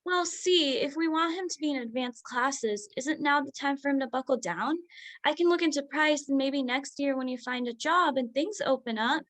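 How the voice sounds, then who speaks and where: A woman acts out an overworked doctor mom in a contemporary drama reading. Her voice sits fairly high, and she is brisk, proud and quick to push back, insistent about what she wants. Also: a bit bright.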